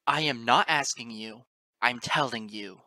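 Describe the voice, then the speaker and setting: A man voicing a furious, desperate, defiant pony hero in a fantasy story, shouting, snarling and ranting. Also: medium pitch, measured pace, no echo. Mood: defiant, commanding. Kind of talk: dramatic fantasy story reading.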